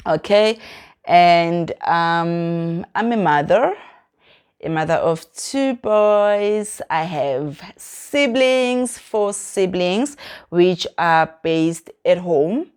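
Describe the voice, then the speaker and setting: A South African woman in her thirties talks casually to her viewers in a fairly low voice. She rambles, corrects herself mid-sentence and slips Zulu phrases into her English. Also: animated, very slow, a little mellow, smooth. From Mpumalanga, South Africa. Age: 30s. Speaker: vlogger. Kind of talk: casual chat to camera, self-introduction.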